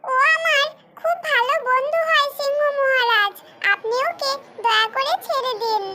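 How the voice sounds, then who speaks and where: Woman voicing a very high-pitched friendly fox. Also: a little slow, very noisy. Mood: pleading. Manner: friendly, pleading. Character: friendly hungry talking fox, grateful and loyal. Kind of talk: animated fairy-tale cartoon dialogue.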